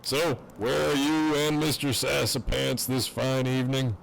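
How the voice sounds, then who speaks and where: A man's voice: mid-range voice, level tone, measured pace, vocal fry, slight background noise, low-quality recording. A man playing a cocky, crude prisoner who teases in wry, swaggering banter in an audio drama. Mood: dry, teasing. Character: cocky, crude, teasing imprisoned man on a walkie-talkie. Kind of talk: fan audio drama acting.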